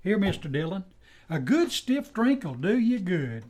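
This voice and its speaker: A man's voice: high voice, sing-song, a little slow, darkish tone, heavily acted. A man playing an anxious, loyal deputy in an old-time western radio drama, with a folksy American drawl and a stammering, deferential manner. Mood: deferential, chatty.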